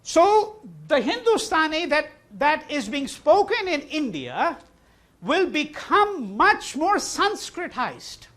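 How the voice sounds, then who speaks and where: A man giving a university lecture in a hall, his voice high and animated with wide swings in pitch. His first language is Hindi. He repeats key words for emphasis and answers his own questions. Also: slow. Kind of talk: classroom lecture.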